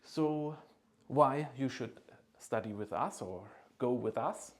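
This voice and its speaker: A man's voice: medium pitch, slow. Earnest, persuasive man in his thirties. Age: 30s. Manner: earnest, persuasive, often adds "right?". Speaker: agency founder and study consultant. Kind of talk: informal promotional pitch to camera.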